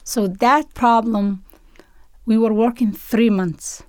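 A woman with a Somali accent and a fairly high voice talks as an interview guest. She speaks earnestly, in short non-native English phrases, and the recording is dry and close. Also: fairly even intonation, takes it slowly, a little mellow, no echo. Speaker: interview guest, basketball coach and organiser. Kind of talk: conversational interview storytelling.

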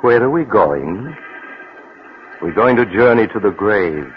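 An actor playing a sinister yet genial mysterious storyteller, narrating a 1940s radio mystery with theatrical, ominous phrasing. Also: male voice, on the low side, animated, unhurried, faint music behind. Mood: ominous, teasing. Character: sinister, genial mysterious male storyteller relishing macabre tales. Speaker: host narrator. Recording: muffled, noisy old 1940s radio recording.

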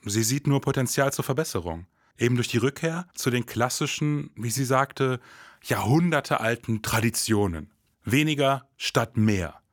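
An actor playing a formal, pompous detective in a satirical noir podcast drama, with stilted, theatrical phrasing. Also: male voice, mid-range voice, lively intonation, normal speed, no echo, studio quality, lightly acted. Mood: deliberate, grave.

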